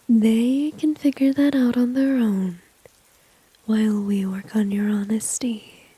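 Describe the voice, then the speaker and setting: Woman playing a confident teasing villainess, ASMR roleplay, soft dark whisper, breathy, intimate close mic, very dry sound. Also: a little high, no room sound, heavily acted, rough recording. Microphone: close microphone, dry.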